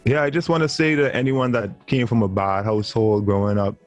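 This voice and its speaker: A man's voice: fairly low pitch, fairly even intonation, a little fast, highs cut off, soft background music. A man in his twenties with a Bahamian accent, talking earnestly as a guest on a video-call interview. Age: 20s. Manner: earnest, laughs easily. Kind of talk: casual interview chat.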